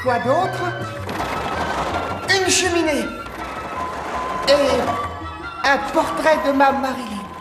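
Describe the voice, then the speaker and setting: A man's voice: very high-pitched, animated, extremely slow, long pauses, heavily acted. A man voicing a small, plucky, lovestruck animal sidekick in a cartoon, earnest and a little hesitant. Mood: thoughtful. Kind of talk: French cartoon dub acting. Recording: music behind the voice.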